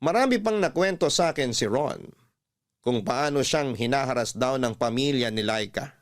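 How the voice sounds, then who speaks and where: A man's voice: mid-range voice, lively intonation, normal speed. A man with a Filipino accent, a radio host reading a first-person love story as dramatic narration. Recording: music bed under the voice.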